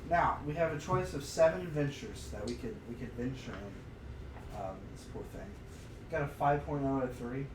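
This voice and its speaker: A man's voice: medium pitch, expressive, moderate pace. A man co-hosting a podcast, chatting casually and reading aloud from a book, sometimes stumbling over the words. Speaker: podcast co-host. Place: untreated room, podcast recorded on a live stream. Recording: roomy, distant mic with noticeable noise.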